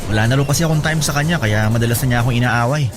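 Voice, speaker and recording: A man's voice: medium pitch, racing, lightly acted. An actor playing a playful young man out drinking with a friend, joking and teasing as he talks. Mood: casual, joking. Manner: joking, teasing, laughs easily. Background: music.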